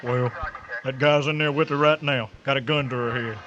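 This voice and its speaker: Bossy small-town lawman for radio comedy-drama male actor, folksy and sure of himself, dull recording with clear noise. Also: mid-range voice, fairly even intonation, moderate pace, close to an everyday voice. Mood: cocksure, matter-of-fact. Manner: blunt, folksy, authoritative. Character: gruff, cocksure small-town country sheriff. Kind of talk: old-time radio comedy-drama acting.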